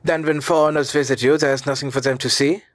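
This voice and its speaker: Man playing an excitable, cunning professor uncle. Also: medium pitch, fast talker, dry recording, pitched above the performer's own voice, lightly acted. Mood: incredulous.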